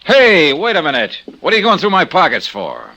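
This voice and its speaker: An actor playing a wry, skeptical American insurance investigator in old-time radio style, dry and sardonic. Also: male voice, fairly high pitch, wildly swooping pitch, fairly quick, pushed and bright, exaggerated voice. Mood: wary, sardonic. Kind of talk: old-time radio detective acting and narration.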